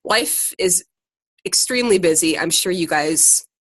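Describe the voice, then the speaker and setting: Low-voiced, chatty American woman. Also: animated, measured pace, dry recording. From Ohio, United States. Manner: chatty, informal, self-deprecating, often laughing. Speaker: secondary teacher and podcaster leading a group call. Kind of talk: casual motivational talk on a group call. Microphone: conference call.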